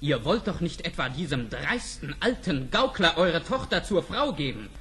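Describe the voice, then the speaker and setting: High-voiced, earnest young prince. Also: male voice, very animated, normal speed, a bit bright. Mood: indignant. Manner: emphatic, formal, theatrical. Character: young prince, earnest, outspoken, indignant son. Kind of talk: radio play acting for children.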